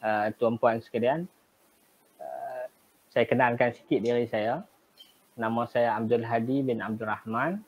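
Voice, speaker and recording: A middle-aged man from Kedah in Malaysia with a northern Malaysian Malay accent, a guest telling his business story in a measured way, with pauses and fillers. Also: medium pitch, fairly even intonation. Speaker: guest, travel agency business owner. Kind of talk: remote interview storytelling. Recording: dull lo-fi live stream audio.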